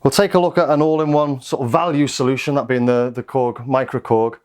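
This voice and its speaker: A man's voice: mid-range voice, fairly even intonation, fairly quick, a little mellow, clear, resonant tone. A British man giving a casual, rambling product overview as a gear reviewer.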